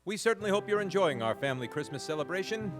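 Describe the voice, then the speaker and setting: A man's voice: high voice, lively intonation, quick pace. A man making a scripted intermission announcement as the show's announcer on a live stage. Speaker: show announcer. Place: live stage in a recreation center. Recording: live stage, music behind.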